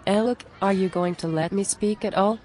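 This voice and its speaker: A woman's voice: mid-range voice, moderate pace, a little mellow, lightly acted. A woman playing a down-to-earth, exasperated friend in a scripted cartoon dialogue, plain-spoken and conversational.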